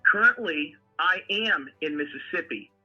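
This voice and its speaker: A man's voice: a little high, lively intonation, moderate pace, husky, faint music behind. A man defensively explaining himself as he is questioned on a phone call. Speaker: self-described private investigator being questioned.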